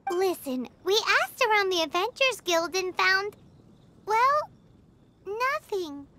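A woman's voice: very high voice, very animated, takes it slowly, pushed and bright, a little hiss or hum, exaggerated voice. A woman voicing a bubbly, boastful, childlike fairy companion in a video game, with exclamatory, exaggerated childish talk. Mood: puzzled, impatient.

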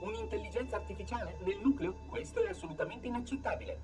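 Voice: mid-range voice, sing-song, quick pace, far from the mic, over music. A performer voicing a fussy, officious robot caretaker in a video game dub, formal and pedantic. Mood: indignant. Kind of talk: dubbed video game cutscene acting.

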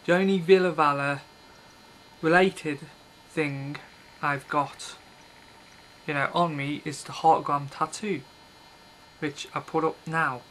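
A man's voice: on the high side, fairly even intonation, slow pace, a bit bright, a little hiss or hum, rough recording. A man in his thirties rambling to a webcam in a small bedroom, blunt and offhand, often breaking off mid-thought. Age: 30s. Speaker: video blogger. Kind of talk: casual rambling monologue to camera. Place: small bedroom with red curtains, indoors. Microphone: built-in webcam mic.

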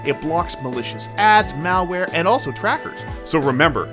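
Casting: voice actor playing a slick male cartoon wolf salesman, bouncy pitch, music bed behind. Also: animated, moderate pace, lightly acted. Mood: cheery salesman. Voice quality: salesman patter, wide pitch swings. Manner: pushy and cheery, with a sing-song pitch. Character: sly upbeat male wolf con man. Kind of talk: fan-dubbed comic skit. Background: background music.

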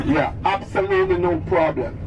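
A man's voice: sits high, background noise. A man with a Jamaican accent, a tour bus driver joking with passengers and repeating catchphrases. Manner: playful, repeats catchphrases, laughs between lines. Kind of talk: joking tour guide patter over a mic. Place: inside a crowded moving minibus. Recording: distant voice in a loud crowded bus.

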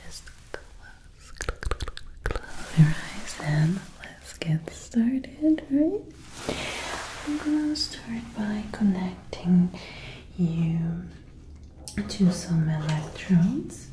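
A young woman whispering breathily in a hushed, soothing manner, giving gentle reassuring instructions as a clinician in an ASMR roleplay. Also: mid-range voice, expressive, noisy background. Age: young adult. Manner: slow, hushed, soothing, repeating words gently. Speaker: ASMR host playing a hearing-test clinician. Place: small home room, indoors. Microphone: close binaural ASMR microphone.

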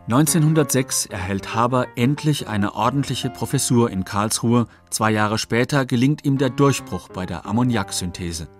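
A man reading scripted documentary narration in a factual, measured manner. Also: medium pitch, soft background music.

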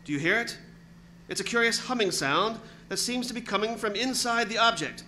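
A man playing an excited radio reporter at the scene in 1930s radio style, hesitant and stammering with urgency. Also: sits high, brisk, slight background noise, exaggerated voice. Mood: hushed urgency. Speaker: actor in a radio drama recreation.

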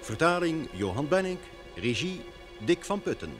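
A man's voice: fairly high pitch, big pitch swings, normal speed. A man making a scripted title announcement for an old radio serial. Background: orchestral theme music.

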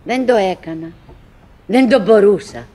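An actress playing a middle-aged woman in a radio theatre monologue, wistful and doggedly cheerful, musing in halting, repetitive phrases. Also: medium pitch, animated, dark tone, close to an everyday voice.

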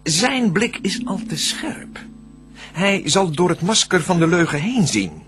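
An actor playing a cunning, smooth-tongued devil in a Dutch verse radio drama, declaiming deliberately with a husky voice. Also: male voice, high voice, big pitch swings, music in the background. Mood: calculating. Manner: theatrical, scheming, deliberate declamation. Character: cunning, smooth-tongued male devil plotting to tempt mankind.

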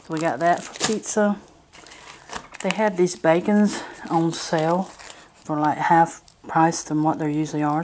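A woman talking casually and plainly as she narrates a grocery haul on a handheld camera at home. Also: low voice. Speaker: home vlogger. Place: indoors at a kitchen or dining table. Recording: noisy home recording, crinkling packages. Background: crinkling packaging, clicks, background noise.

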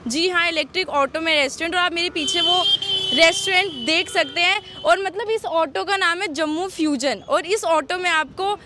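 A woman's voice: extremely high pitch, normal speed, bright, forceful tone. A woman, presenting and interviewing in a chatty, enthusiastic way and mixing in English words. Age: 20s. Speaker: host and interviewer. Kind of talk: presenter piece to camera and street food interview. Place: outdoors by a roadside food cart. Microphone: handheld microphone. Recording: outdoor street noise with traffic.

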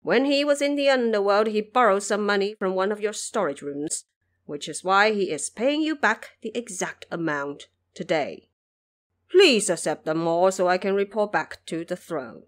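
A woman's voice: medium pitch, lively intonation, moderate pace, lightly acted. A woman voicing a dignified male imperial envoy who speaks politely and earnestly in an audio drama. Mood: courteous.

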